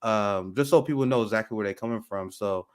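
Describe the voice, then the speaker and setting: A man hosting a live talk show, speaking with many restarts and pauses. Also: lowish voice, clear, resonant tone. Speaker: host.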